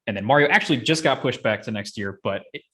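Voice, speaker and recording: Casual young man, mid-pitched voice. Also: expressive, fast, a bit bright. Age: young adult. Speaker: podcast host. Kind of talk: casual chat.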